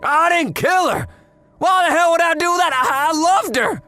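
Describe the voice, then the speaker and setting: Man playing an intense young anime man, bright voice. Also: very high-pitched, lively intonation, moderate pace, heavily acted. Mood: shocked, desperate. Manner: intense, emotional, exclamatory. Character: earnest, devoted young man, grief-stricken and vengeful. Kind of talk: dubbed anime-style dramatic acting.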